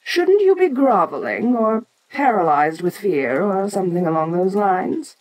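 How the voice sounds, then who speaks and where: An ancient giant monster woman, played by a voice actress for an audio roleplay. She is imperious and curious, speaking very slowly and deliberately at a middling female pitch, as if to a lesser creature. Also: sing-song, a little mellow, rough recording. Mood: haughty, curious.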